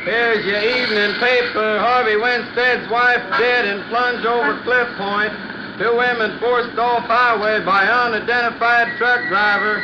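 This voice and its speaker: An actor playing a newspaper seller who calls out the evening headlines in old-time radio drama style. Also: male voice, extremely high pitch, steady tone, measured pace. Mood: urgent hawking. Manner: declaiming street hawker's call. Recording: dull, noisy old radio recording.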